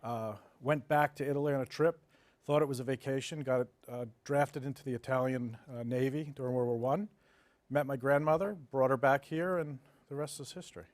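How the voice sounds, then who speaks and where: A man's voice: medium pitch, steady tone, fairly quick, dry recording. Matter-of-fact man in his fifties, dry sound. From New Jersey, United States. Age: 50s. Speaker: interview guest, development executive. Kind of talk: conversational interview storytelling.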